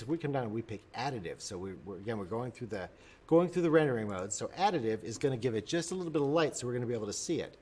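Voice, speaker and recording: A man explaining software step by step in an unscripted tutorial, often repeating and rephrasing himself as he goes. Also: mid-range voice, a little hiss or hum.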